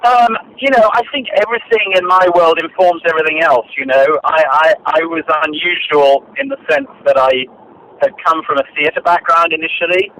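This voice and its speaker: An older man, a director answering interview questions in a measured, hesitant way with many fillers and restarts. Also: a little high, bright, forceful tone. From Britain. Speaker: film and radio drama director, guest. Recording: dull lo-fi archive recording, faint noise.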